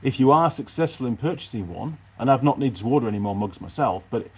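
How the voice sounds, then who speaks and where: A man's voice: mid-range voice, lively intonation, fast, darkish tone, husky. A man chatting casually and unscripted to his viewers as a mechanic vlogger, rambling along and thinking aloud in his workshop.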